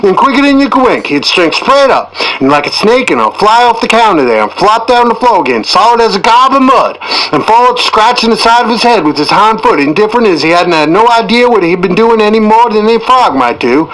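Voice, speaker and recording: A garrulous country storyteller, played by a male reader, spins a tall tale in rambling, colloquial dialect. The voice is high for a man, with an earnest, admiring tone. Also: very animated, brisk, a bit bright, poor audio. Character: folksy, garrulous rural yarn-spinner, admiring and earnest. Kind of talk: first-person tall-tale narration in audiobook.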